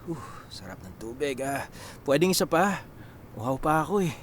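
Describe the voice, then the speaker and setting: A man's voice: on the high side, big pitch swings, normal speed, a little hiss or hum. A man acting a brave, teasing young student hero in a Filipino radio drama, casual, joking and coaxing.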